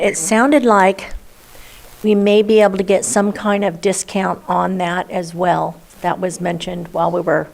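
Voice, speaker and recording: Even-pitched woman reporting at a meeting. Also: gravelly. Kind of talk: informal report at a public meeting.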